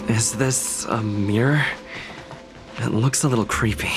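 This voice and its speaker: A man voices a young game hero, earnest and careful. His medium-pitched voice sounds concerned, as if thinking out loud. Music plays behind him. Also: measured pace, lightly acted. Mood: wary, puzzled. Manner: earnest, searching, wondering aloud. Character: young male traveler, earnest, concerned and cautious. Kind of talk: anime-style video game voice acting.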